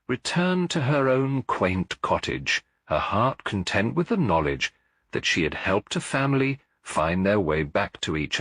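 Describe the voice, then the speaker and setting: A man reading a scripted story as an audiobook narrator, in a measured, literary manner. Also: sits low, a bit bright, husky, no echo, clean studio sound.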